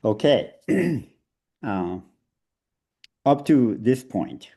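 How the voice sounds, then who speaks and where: A man with a Korean accent leads a seminar over a video call. His pitch rises and falls a lot, and he laughs easily between hesitant fillers. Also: big pitch swings, drawn-out words, warm tone, husky. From South Korea. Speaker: seminar presenter. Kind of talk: online seminar talk.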